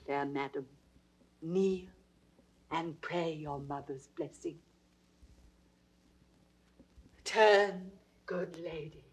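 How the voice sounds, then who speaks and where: A British woman acting a commanding, solemn noblewoman in classical Shakespearean audio drama, stately and incantatory. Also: on the low side. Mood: gentle authority. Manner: stately, incantatory, deliberate phrasing.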